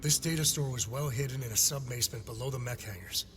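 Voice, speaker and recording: A man plays a composed intelligence operative in a game cutscene. He explains persuasively and dryly in a medium-pitched voice, with clearly audible music behind. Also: fast talker, fairly bright tone, natural voice. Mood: measured persuasion. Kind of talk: dramatic video game acting.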